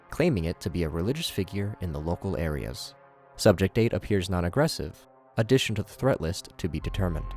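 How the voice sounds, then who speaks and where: A man's voice: low voice, darkish tone. A man giving scripted video commentary in a casual, opinionated, enthusiastic way.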